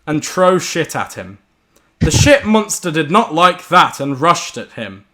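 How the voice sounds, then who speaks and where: A young man with a high, forceful voice narrates a silly horror story to his friends. He is giggly and often stumbles over his words as he reads. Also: big pitch swings, measured pace.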